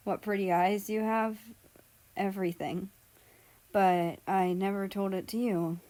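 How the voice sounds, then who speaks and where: A woman voicing a big, shy, earnest young man who speaks haltingly in a story reading. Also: mid-range voice, a little mellow, close to an everyday voice, rough recording. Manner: halting, hesitant.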